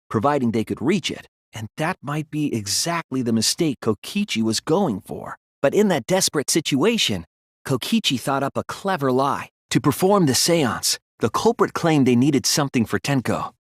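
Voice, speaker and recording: A man voicing an earnest, analytical teenage boy detective in a video game, reasoning deliberately through scripted dialogue. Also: mid-range voice, slightly rough, no echo, studio quality.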